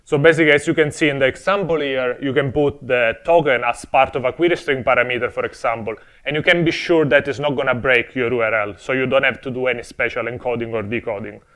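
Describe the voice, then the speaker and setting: A man's voice: mid-range voice, quick pace, hardly any pauses, fairly bright tone. A young man from Italy with an Italian accent, giving a friendly, explanatory conference talk on a technical topic with touches of humor. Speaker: conference speaker. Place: conference stage before an audience.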